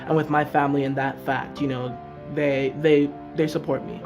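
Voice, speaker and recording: A sixteen-year-old student from Nigeria, chatting casually in a documentary interview. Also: male voice, fairly high pitch, flat delivery, normal speed, highs cut off. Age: teens. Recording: music underneath.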